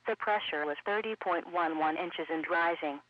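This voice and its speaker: A man's synthetic voice reading scripted weather bulletins in a measured, impersonal way. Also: fairly high pitch, no room sound. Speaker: weather radio announcer. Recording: dull lo-fi weather radio broadcast.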